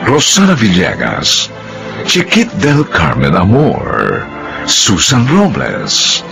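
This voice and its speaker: A man narrating a radio drama in a slow, solemn manner and reading the credits. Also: sits low, huge pitch swings, gravelly, music in the background. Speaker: narrator and announcer.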